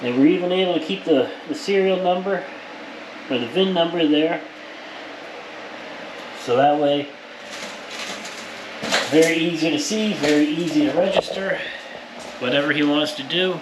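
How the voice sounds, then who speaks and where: Plain-spoken man over clear shop noise. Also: on the high side, moderate pace, pauses often. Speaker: powder coater presenting his shop's work. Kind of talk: casual hands-on workshop narration to viewers. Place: powder coating workshop.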